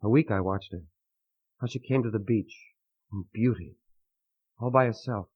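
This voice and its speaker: An actor playing an earnest, lovesick young man who speaks in halting, poetic, fervent phrases in a melodramatic radio drama. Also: fairly low pitch, breathy edge. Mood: fervent adoration. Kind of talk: melodramatic old-time radio acting. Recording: muffled vintage radio recording.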